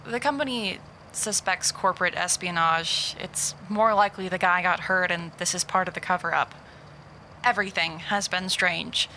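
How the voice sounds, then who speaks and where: A woman's voice: on the low side, moderate pace, bright, forceful tone, a little hiss or hum, poor audio. A woman playing a weary, wry private investigator recording a hesitant, dry voice log in an audio drama. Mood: dry, wary.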